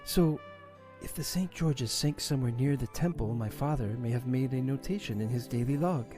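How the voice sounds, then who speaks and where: A man's voice: mid-range voice, moderate pace, warm tone, faint music behind, lightly acted. A man voicing a serious, determined young woman, a grieving daughter, in an audio drama. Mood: serious, subdued. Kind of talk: audio drama character acting.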